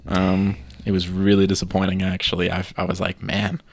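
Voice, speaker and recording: A man co-hosting a casual gaming podcast, telling his gaming stories in an animated way and acting out his own reactions. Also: sits low, fairly quick, gravelly, dry recording, clean studio sound. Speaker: podcast co-host.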